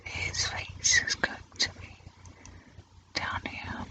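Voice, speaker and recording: A person whispering casual ASMR commentary in an unhurried, musing, informal way while drawing, in a breathy unvoiced voice. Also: pushed and bright, recorded from a distance. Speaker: host of a drawing ASMR channel. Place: indoors at a drawing easel.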